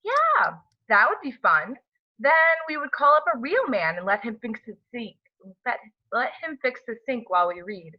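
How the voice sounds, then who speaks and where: A woman playing a lively, teasing young wife. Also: on the high side, normal speed, muffled recording. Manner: teasing, casual. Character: playful, teasing young wife in her late twenties. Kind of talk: home table read of a film script.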